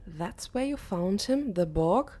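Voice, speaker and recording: Woman voicing a mellow, earnest teenage boy. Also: medium pitch, animated, takes it slowly. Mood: curious, earnest. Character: teenage boy Jacob, curious, uneasy narrator. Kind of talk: audiobook narration and acting.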